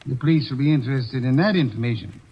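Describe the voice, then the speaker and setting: An actor playing a portly, unflappable older detective who speaks in a measured, pompous way, in 1940s radio acting. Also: male voice, medium pitch, husky. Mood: smug. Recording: dull old radio broadcast recording.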